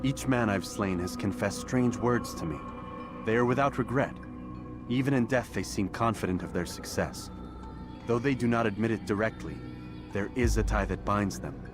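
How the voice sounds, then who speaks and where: A man's voice: fairly low pitch, normal speed, lightly acted. A man voicing a proud, doubting young assassin in a video game, speaking stiffly and formally. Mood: questioning. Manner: stiff, solemn, formal phrasing. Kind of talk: video game cutscene dialogue. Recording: music behind the voice.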